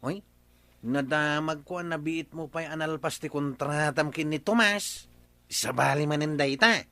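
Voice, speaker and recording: An actor with an Ilocano accent playing a blustering, nosy village tricycle driver who talks loudly and questioningly in a radio comedy. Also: male voice, fairly high pitch, a little slow, dry recording.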